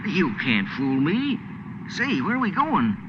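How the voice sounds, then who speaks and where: An actor playing a clingy, scrappy older sidekick in a 1940s radio drama, with folksy, ungrammatical, wheedling talk. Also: male voice, a little high, huge pitch swings, measured pace. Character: scrappy, clingy old sidekick, a boat stowaway. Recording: dull, noisy 1940s radio recording.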